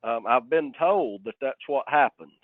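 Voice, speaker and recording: A man's voice: mid-range voice, expressive, moderate pace, dry recording. A man speaking as sheriff on a conference call, giving informal, plain-spoken, folksy remarks to a public meeting. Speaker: county sheriff. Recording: tinny, dry phone line.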